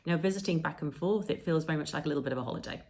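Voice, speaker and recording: English woman in her fifties, co-hosting a podcast, low-pitched, easygoing storyteller. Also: very fast, darkish tone. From England. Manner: chatty storytelling with frequent ums. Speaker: podcast co-host. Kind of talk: casual conversational podcast.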